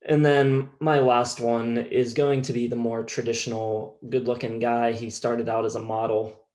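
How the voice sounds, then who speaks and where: A man co-hosting a music show, chatting casually in a measured, halting way. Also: mid-range voice, flat delivery, a little mellow. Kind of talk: casual chat.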